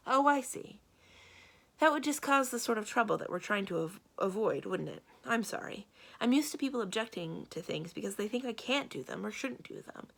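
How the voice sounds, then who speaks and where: A woman voicing a headstrong, capable young princess in a storybook, brisk and self-assured, quick to retort. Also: medium pitch, expressive, vocal fry, a bit bright, pitched above the performer's own voice. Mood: earnest, determined. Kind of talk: storybook read-aloud.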